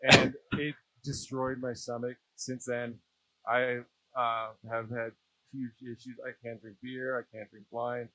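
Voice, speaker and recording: A man's voice: medium pitch, flat delivery, unhurried, pushed and bright, rough recording. A Canadian man chatting casually as an interview guest, informal and slangy. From Saskatchewan, Canada. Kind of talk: casual chat. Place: small aircraft cockpit.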